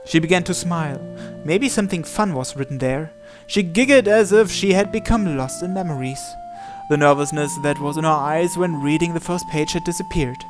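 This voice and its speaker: A man's voice: on the high side, moderate pace. A man reading a visual novel aloud as story narration. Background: game music.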